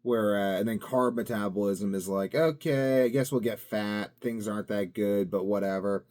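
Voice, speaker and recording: A young man talking casually to camera, wandering from thought to thought and swinging between loud bursts and mumbled asides. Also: lowish voice, moderate pace. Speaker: vlogger. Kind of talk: casual rambling monologue.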